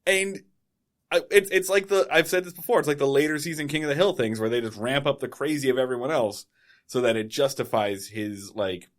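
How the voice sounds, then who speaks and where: A man's voice: medium pitch, fairly quick. A man co-hosting a casual comedy podcast, sarcastic and profane, laughing often. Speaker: podcast co-host.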